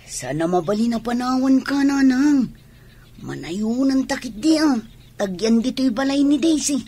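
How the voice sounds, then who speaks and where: High, husky young daughter. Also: female voice, expressive, a little slow, mellow, full character voice. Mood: earnest. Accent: Ilocano. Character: young working daughter reassuring her mother. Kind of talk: Filipino radio drama acting.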